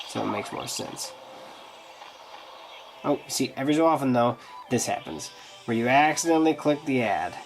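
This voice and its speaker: A man's voice: medium pitch, expressive, moderate pace, pauses often, soft background music. A man with a stuffed-up, nasal voice from a cold gives a casual, meandering review commentary on a mobile game. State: congested, getting over a cold. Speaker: app reviewer, host. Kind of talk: casual review commentary over a gameplay demo.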